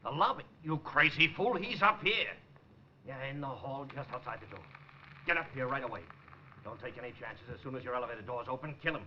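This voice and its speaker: A man's voice: medium pitch, expressive, fairly quick, pushed and bright. An actor playing a ruthless male crime boss barking orders in a 1950s radio drama, commanding and snappish. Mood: menacing. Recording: dull lo-fi 1950s radio broadcast with hiss.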